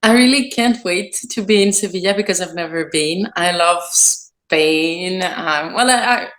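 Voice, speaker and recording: A woman's voice: lowish voice, measured pace, fairly bright tone. A woman from Greece, a yarn dyer, chatting as a guest in a casual video-call interview from her studio, with many fillers and restarts. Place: home studio.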